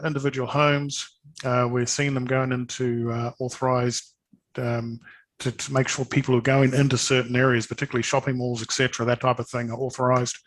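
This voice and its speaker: A man giving an informal sales presentation of products, speaking hesitantly and haltingly, often repeating words. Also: medium pitch, a bit bright.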